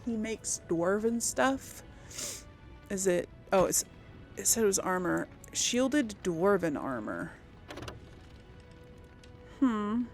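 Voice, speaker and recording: A woman's voice: mid-range voice, very animated, slow, pauses often, soft background music. A woman giving casual game commentary as a let's play host, musing aloud to herself.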